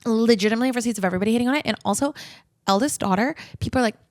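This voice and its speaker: A woman's voice: on the high side, rapid-fire, darkish tone, dry recording, clean studio sound. A woman co-hosting a podcast, chatting casually in an emphatic, opinionated and slangy way. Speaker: podcast host.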